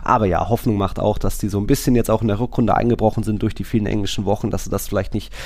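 Low-pitched man chatting about football. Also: steady tone, racing, darkish tone. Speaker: football podcast host. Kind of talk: casual football chat.

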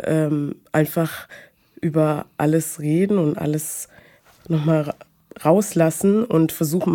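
A woman, talking hesitantly about her personal life with many pauses and fillers. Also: deep voice, warm tone. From Munich, Germany. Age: 20s. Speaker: guest. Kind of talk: personal conversation.

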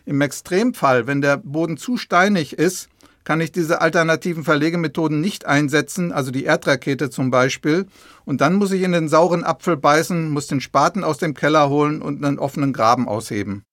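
A man's voice: fairly high pitch, a little fast, a bit bright, no echo, clean studio sound. A man explaining things patiently and plainly to young listeners as an expert guest in an interview. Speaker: government official, expert guest.

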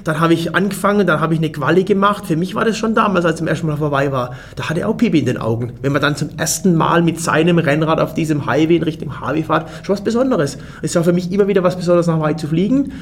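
A man's voice: a little high, a little hiss or hum. A German man in his forties, a race organizer, answering interview questions in a casual way and often restarting his sentences. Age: 40s. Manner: fast, restarts and repeats words.

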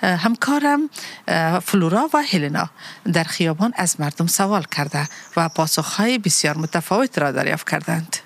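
A woman co-hosting a community podcast, reading translations of the host's script at a brisk clip. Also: on the low side, lively intonation, vocal fry.

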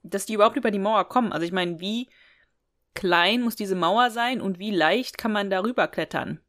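A woman's voice: medium pitch, moderate pace, fairly bright tone. A woman chatting casually and conversationally as a podcast co-host. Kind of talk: casual chat.

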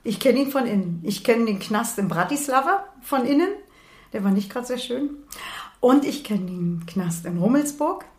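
A woman in her sixties from Berlin, a retired nurse and author, telling her life story in a calm, matter-of-fact way. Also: on the high side, normal speed. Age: 60s. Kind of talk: personal life story in conversation. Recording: roomy echo.

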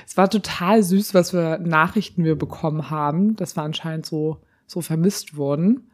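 A slightly hoarse woman co-hosting a podcast in casual chat, laughing often and rambling through restarts. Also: deep voice, normal speed, darkish tone. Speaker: podcast co-host.

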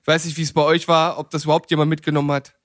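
Man, thirties, high-pitched voice, dry recording, casual reminiscing podcast talk. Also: flat delivery, very fast, dry recording. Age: 30s.

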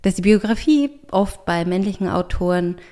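A woman's voice: mid-range voice, lively intonation, normal speed, mellow. A woman writer and artist talking reflectively in an interview, often restarting and searching for words. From Austria. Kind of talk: thoughtful conversational interview.

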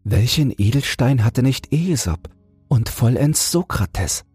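A man playing a cheerful young male student who banters in a lively, educated way in a storybook. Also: mid-range voice, slow, breathy edge, no echo, faint music behind, pitched above the performer's own voice, heavily acted. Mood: spirited.

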